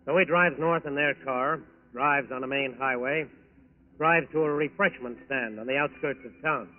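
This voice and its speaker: An American man narrating a scripted 1940s radio crime drama in a dramatic, measured way. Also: medium pitch. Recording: thin phone-like 1940s radio recording.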